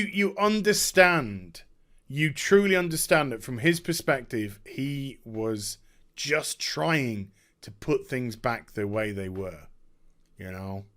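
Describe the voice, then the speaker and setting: A man chatting off the cuff about a video game as a streamer, effusive and overwhelmed, often halting, repeating himself and trailing off. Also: medium pitch, fairly bright tone. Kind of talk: unscripted rambling game review chat.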